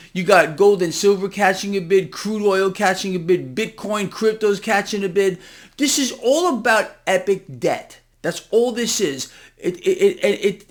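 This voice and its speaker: A man with a distinctly high voice delivers impassioned, unscripted market commentary. He addresses his audience directly as a channel host, leaning hard on key words with an incredulous, conspiratorial edge.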